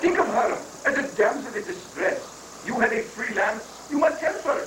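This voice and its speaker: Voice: mid-range voice, animated, normal speed, fairly bright tone, natural voice. A performer playing a pompous, fatherly guru who hands out absurd advice in mock-scriptural style, in a dramatic reading of a satirical play. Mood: glib, advising. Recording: echoey room, far from mic, noisy.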